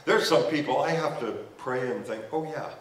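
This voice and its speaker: Older pastor preaching in an echoey church. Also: male voice, mid-range voice, moderate pace, a little hiss or hum. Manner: conversational preaching with humorous asides; gestures while walking the stage. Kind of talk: sermon. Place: church stage, indoors. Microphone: headset mic. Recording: roomy church sound from a distance.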